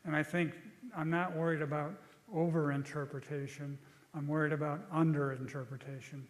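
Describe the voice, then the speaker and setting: An older man giving a lecture and taking questions, speaking in a thoughtful, halting way with frequent restarts. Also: on the high side, flat delivery, long reverb tail, low-quality recording.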